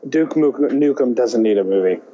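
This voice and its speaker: A man co-hosting a podcast, chatting casually and thinking aloud in halting, hesitant phrases. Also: mid-range voice, animated, mellow, muffled recording, slight background noise. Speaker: podcast co-host. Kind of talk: casual chat about movies and games.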